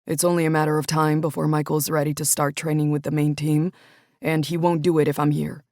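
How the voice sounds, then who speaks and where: Woman reading young male coach, subdued, regretful, quietly guilty. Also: low-pitched, little pitch movement, brisk, no room sound, clean studio sound. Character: troubled young adult man, a guilty football coach. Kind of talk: audiobook character voice.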